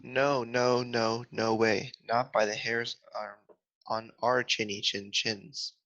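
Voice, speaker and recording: An amateur reader playing frightened little pigs at a table read, halting, over muffled video-call audio. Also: mid-range voice, flat delivery, a bit bright, no room sound. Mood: nervous refusal.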